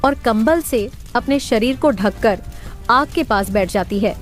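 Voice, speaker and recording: Role: narrator. Style: scripted storybook narration. Pitch: fairly high. Behind: clear music. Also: female voice, measured pace. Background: background music.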